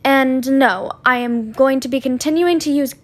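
A woman's voice: high-pitched, normal speed. A young woman chatting casually as a content creator, giving an informal update.

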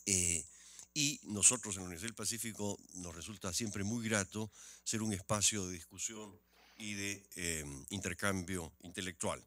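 A man's voice: sits low, fairly even intonation, a bit bright. An older man moderating a university book presentation, speaking formally at a podium mic in a lecture hall. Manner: measured formal speech with fillers. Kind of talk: formal event introduction.

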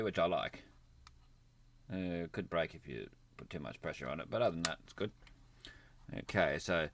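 A man's voice: low voice, creaky, a bit bright, a little hiss or hum. A man giving a casual, unscripted hands-on gadget demonstration in a plain-spoken way. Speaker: gadget reviewer. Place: indoors at a desk, near a computer.

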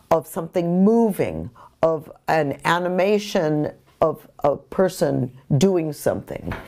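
Woman, middle-aged, slightly low pitch, unhurried, instructional, measured phrases. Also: mellow. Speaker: courtroom artist giving instruction. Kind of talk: informal instructional talk. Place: small bright home studio, indoors by a window.

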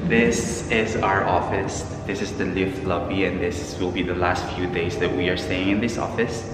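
A woman's voice: rumbling low, steady tone, moderate pace, over loud music. A woman from the Philippines, vlogging casually and chatting to the camera on a handheld phone. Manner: chatty, giggly, addresses the camera. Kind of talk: casual vlog narration. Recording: loud background noise, passing vehicles.

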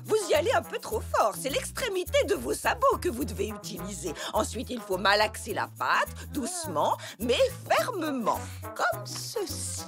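A woman voicing a kindly old zebra grandmother in a children's cartoon, gentle and encouraging as she instructs. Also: high voice, wildly swooping pitch, normal speed, hardly any pauses, music in the background, heavily acted. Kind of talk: children's animated cartoon acting.

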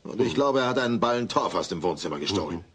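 A hapless, blunt neighbour man grumbles in a sitcom audio drama. His voice sits fairly low but moves around a lot in pitch. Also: expressive, fast, fairly bright tone, slight background noise. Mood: helpless.

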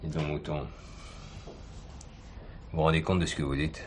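An actor playing a blunt, sardonic, hard-nosed police commissioner who bullies a suspect in a French radio crime drama. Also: male voice, a bass voice, flat delivery, normal speed. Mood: pressing, sardonic. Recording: noisy old radio drama recording.

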